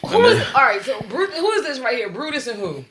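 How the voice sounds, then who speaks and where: A woman co-hosting a podcast, chatting animatedly with exclamations and quick laughs. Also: high voice, big pitch swings, background noise. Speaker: podcast co-host. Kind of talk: casual chat.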